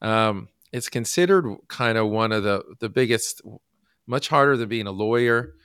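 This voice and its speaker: Low smooth guy hosting a chill podcast chat, lots of ums and restarts, dry close sound. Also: a bit bright, no room sound. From United States. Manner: halting, many fillers and restarts. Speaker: podcast host. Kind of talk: casual interview.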